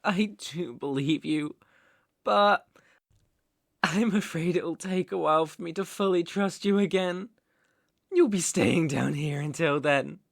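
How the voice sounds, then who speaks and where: Man acting a slow-spoken, menacing British mafia boss. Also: a little high, vocal fry, dry recording, studio quality. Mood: controlling, tender. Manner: slow, deliberate, brooding, condescendingly affectionate. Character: possessive, unstable male mafia boss, menacingly tender ex-lover. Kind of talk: ASMR roleplay, dramatic monologue.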